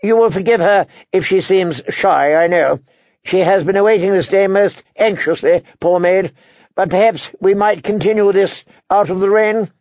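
A man's voice: sits high, normal speed, a little mellow, no echo, lo-fi sound, voice pushed up. A man voicing a courteous, ingratiating noble envoy in an audiobook. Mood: obliging, smooth. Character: courteous young male noble envoy, smoothly obliging.

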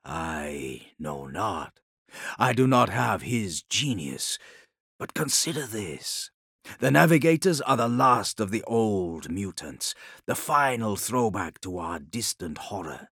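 A male narrator as a grave warlord khan from an audiobook, proud and brooding. His voice is gravelly and dry, with slow, deliberate phrases that rise and fall expressively. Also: fairly low pitch, animated, fairly bright tone, dry recording, exaggerated voice. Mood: grave, measured. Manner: measured, deliberate, formal phrasing.

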